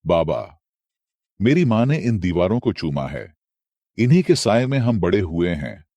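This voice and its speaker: A dutiful, heartfelt younger son as a male narrator plays him in a moral tale. The voice is very low and expressive, with earnest appeal. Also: a little slow, no room sound, exaggerated voice. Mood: pleading. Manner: pleading, earnest.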